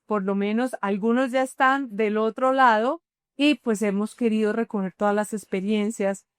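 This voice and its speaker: A woman's voice: mid-range voice, steady tone, moderate pace, clean tone, no room sound, studio quality. A woman podcast host, introducing guests at length in a chatty way and affirming them as they talk. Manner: chatty, affirming listener, long framing introductions. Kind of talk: warm podcast hosting and interviewing.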